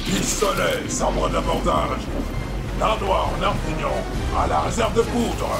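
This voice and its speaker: A man's voice: fairly high pitch, expressive, slow pace, gravelly, full character voice. A man voicing a ruthless male pirate captain who barks orders in a blustering, commanding way. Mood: barking orders. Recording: echoey room with music.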